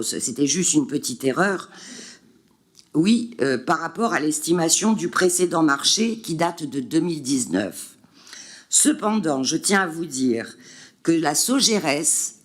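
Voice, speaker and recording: A man presenting figures at a city council meeting, hesitant, with many fillers and restarts. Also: on the high side. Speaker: city councillor answering questions.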